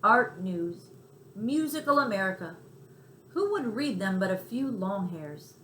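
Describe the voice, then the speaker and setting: A woman voicing a brash, friendly, streetwise male mouse in a storybook, talkative and a little squeaky. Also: lively intonation, a little slow, a bit bright. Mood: knowing, breezy. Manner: talkative, scornful of snobs, city slang. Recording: roomy echo, faint background noise.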